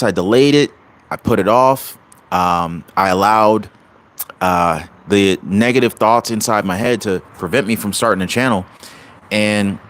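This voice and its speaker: A man with a lowish voice talks live to his viewers over a home computer mic. He sounds upbeat and motivational, stumbling over fillers and restarting his sentences as he goes. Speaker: channel host. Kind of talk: casual livestream talk. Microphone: home computer mic on a livestream.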